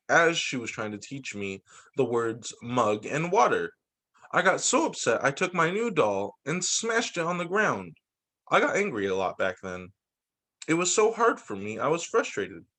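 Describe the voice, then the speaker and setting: A man reading a picture book aloud to young students as their teacher, expressively and with small asides. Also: fairly high pitch. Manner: animated, explains and checks in with young listeners.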